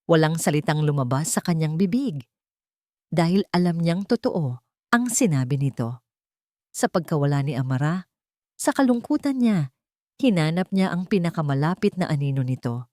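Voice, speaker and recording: Female audiobook narrator, lower register, dramatic storytelling, dry recording. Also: animated, moderate pace, pauses often, darkish tone, dry recording.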